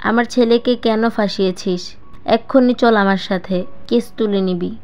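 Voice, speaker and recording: A woman's voice: medium pitch, normal speed, a little mellow, lightly acted. A woman voicing a spiteful, scolding middle-aged aunt in a dramatised story. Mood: fierce, demanding. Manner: scolding, insulting.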